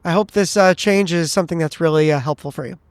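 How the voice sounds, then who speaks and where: A man improvises in character as a tabletop player. His voice is on the higher side for a man, polite and a little tentative, recorded close without room sound. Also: fast, dry recording. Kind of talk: conversational in-character improvised tabletop role-play.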